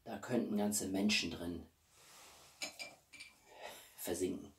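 A man's voice: deep voice, steady tone, slow pace, slight background noise. A man, chatting casually to camera as an opinionated film reviewer, speaking colloquially and with emphasis. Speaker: YouTube film reviewer and channel host. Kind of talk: casual chat to camera about a film collection. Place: small room at home, indoors. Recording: roomy, distant microphone.

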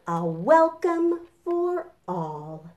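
A woman's voice: on the high side, big pitch swings, drawn-out words, dark tone. A middle-aged woman presenting a preschool storytime as a children's librarian, talking simply and encouragingly to children and their parents. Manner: simple, encouraging talk aimed at small children. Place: home kitchen, indoors. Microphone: camera a short distance away.

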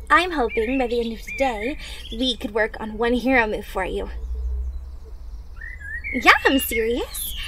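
A young woman playing a caring, encouraging teenage superhero in training, speaking gently and earnestly in an anime-inspired ASMR roleplay. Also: high-pitched. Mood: upbeat, encouraging. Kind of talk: ASMR roleplay, acted monologue to listener. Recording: noisy ambience with birdsong. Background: birdsong, outdoor ambience.

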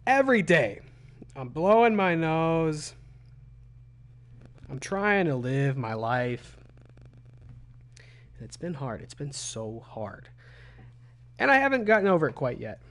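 A young man with a cold, his voice raspy and phlegmy, chatting casually as a gaming streamer from a small room at home. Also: medium pitch, fairly bright tone. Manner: chatty, self-deprecating. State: hoarse from a cold.

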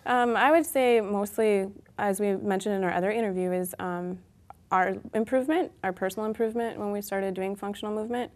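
A woman's voice: mid-range voice, brisk. A woman in her thirties, a guest trainer, explaining things casually in an interview. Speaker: guest, gym owner and trainer. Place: small TV studio set, indoors.

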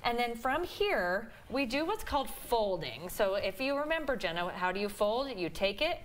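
A woman's voice: on the high side, very animated, normal speed, a little hiss or hum. Chatty Midwestern woman demonstrating a recipe. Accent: Midwestern American. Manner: chatty, explanatory, many "um"s. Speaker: dietitian guest. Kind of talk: cooking demonstration on morning TV. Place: home kitchen TV set.

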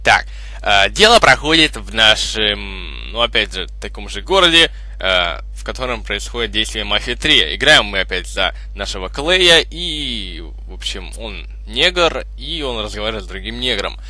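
A young man hosting a gaming video with casual, halting, improvised gameplay commentary. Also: fairly low pitch, sing-song, sharp tone, dry recording. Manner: hesitant, halting, improvised phrasing.

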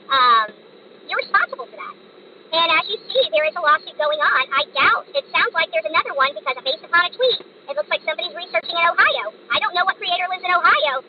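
Very high-pitched, fast-talking American woman in a car. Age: middle-aged. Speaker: online creator. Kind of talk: casual vlog monologue to viewers. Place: inside a car. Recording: muffled audio with loud vehicle noise.

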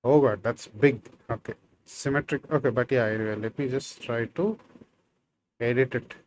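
A man's voice: medium pitch, moderate pace, slight background noise. A man in his thirties whose first language is Tamil, giving a casual screen-share coding tutorial from a small room and thinking aloud with many fillers. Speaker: programming tutor.

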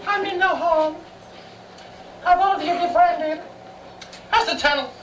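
A woman playing a cheeky, bawdy pantomime character, projecting rhymed lines with deliberate comic delivery on an amateur stage. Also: very high voice. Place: small bar stage indoors. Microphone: distant camera. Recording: very noisy, echoey bar stage from far off.

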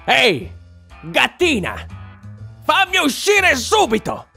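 A man's voice: very high-pitched, huge pitch swings, takes it slowly, creaky, sharp tone, breathy edge, heavily acted. Casting: male actor as pint-sized cartoon demon, bratty and boastful, big swooping delivery over faint music. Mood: indignant. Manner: theatrical, indignant, boastful. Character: small bratty red male demon, indignant and bargaining. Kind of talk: animated comedy dub.

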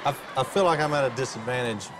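A man's voice: mid-range voice, fast. A man coaching on a TV singing show, talking to a contestant in a playful, teasing way. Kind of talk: joking banter with a contestant. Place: large TV studio with an audience. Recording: loud crowd and studio noise.

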